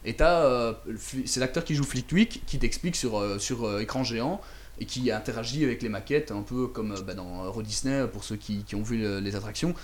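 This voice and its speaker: A man's voice: medium pitch, fairly quick, slight background noise. A man chatting casually on a talk show, recounting a visit with many fillers and repeated words. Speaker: radio show contributor recounting a trip. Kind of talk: casual chat.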